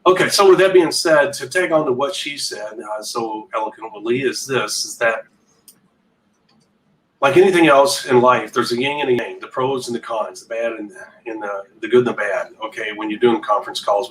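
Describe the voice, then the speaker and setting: A man's voice: medium pitch, a bit bright. A man hosting an informal business coaching podcast, talking in a folksy, emphatic way and answering his own rhetorical questions. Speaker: podcast host. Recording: distant mic with faint background noise.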